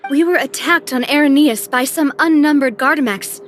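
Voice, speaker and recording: A resolute young woman investigator from a video game, voiced by an actress, speaks in a high, poised voice. Her delivery is deliberate and thoughtful, with soft music underneath. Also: close to an everyday voice. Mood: serious, confiding. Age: young adult.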